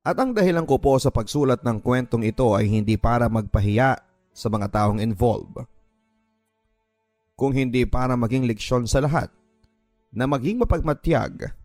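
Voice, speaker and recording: A man's voice: medium pitch, moderate pace, a little mellow. A man with a Filipino accent reading listener letters as a radio storyteller and host. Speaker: host and narrator of a story show. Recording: background music under the voice. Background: music.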